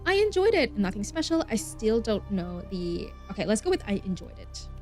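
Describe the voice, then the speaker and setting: A woman's voice: medium pitch, sing-song, moderate pace, a little mellow. A woman streaming a game, reading story text aloud expressively and mixing in casual, chatty asides. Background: game music.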